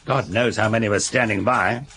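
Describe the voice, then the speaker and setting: An actor playing a seasoned older police inspector in a radio drama, brisk, wry and matter-of-fact. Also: male voice, lowish voice, fairly even intonation, sharp tone, slight background noise. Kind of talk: British radio drama acting.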